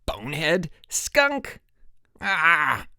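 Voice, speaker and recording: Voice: wildly swooping pitch, moderate pace, no echo, clean studio sound. A narrator voicing a whiny, hot-tempered tattletale boy at summer camp in a story reading, indignant and screechy. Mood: screaming rage. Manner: shrill, screaming, indignant.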